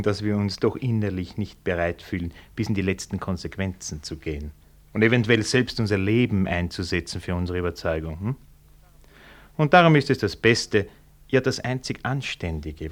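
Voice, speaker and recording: Wry Austrian court official, low-voiced. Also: male voice. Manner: dry, leisurely, ironic. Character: wry, worldly male senior civil servant, genially cynical. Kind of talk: German radio play acting.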